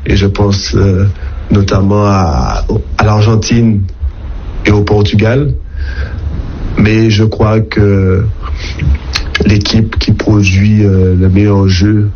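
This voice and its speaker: A man speaks slowly in a fairly low voice, laying out a considered opinion. The recording is muffled and noticeably noisy. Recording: dull lo-fi, clearly noisy recording.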